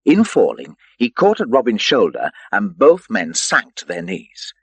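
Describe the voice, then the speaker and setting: A man reading an adventure story as an audiobook narrator, in an expressive, theatrical storytelling manner. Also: on the low side, measured pace, husky, no echo.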